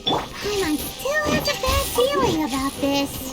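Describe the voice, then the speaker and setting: Game fairy sidekick: childlike, excitable squeak, very high, highly animated. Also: female voice, a little slow, full character voice. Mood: worried. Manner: exclamatory, expressive. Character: tiny excitable floating fairy companion, childlike girl. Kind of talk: video game voice acting. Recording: loud game music behind.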